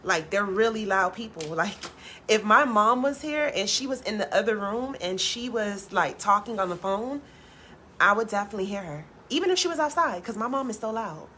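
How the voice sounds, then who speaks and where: A tarot reader chats with her viewers on a live stream, soft-spoken and laid back. Her natural voice sits low and she rarely raises it. She fills her talk with "like" and swears casually, over a faint background hiss. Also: animated, normal speed.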